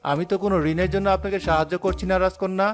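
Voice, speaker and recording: A man voicing a mysterious, unseen forest guardian in an animated fairy tale, protective, courteous and formal. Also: high-pitched, rapid-fire, faint music behind, lightly acted. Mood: earnest, courteous. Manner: formal, solemn, polite.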